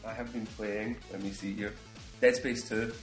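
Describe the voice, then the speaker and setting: A man's voice: lowish voice, little pitch movement, moderate pace. A young man with a head cold, joking dryly as co-host of a casual gaming podcast. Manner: playful, dry joking, swears. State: congested with a cold. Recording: echoey room with faint music.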